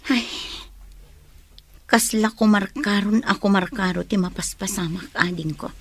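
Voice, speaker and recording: An actress playing a concerned, steady older-sister village woman who counsels in a measured tone in an Ilocano radio drama. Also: mid-range voice, slightly rough.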